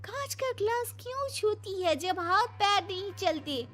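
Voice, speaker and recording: Bossy spoiled young bride-to-be, whiny and affected, really high voice, bit of music under. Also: female voice, animated, a bit bright, heavily acted. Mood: scolding. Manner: affected, whiny, drawn-out, mixes in English words. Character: spoiled, bossy young modern fiancée, whiny drama queen.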